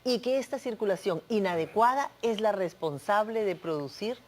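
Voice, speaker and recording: A woman doctor with a Peruvian accent, a guest on a medical interview show, explaining in a steady, clinical, instructive way. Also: medium pitch, moderate pace. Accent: Peruvian Spanish. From Peru.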